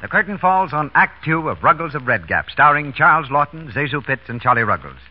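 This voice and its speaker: An American man announcing and pitching a sponsor's product in 1930s radio style, with a husky voice. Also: very animated, a little fast, music in the background. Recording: muffled vintage radio broadcast.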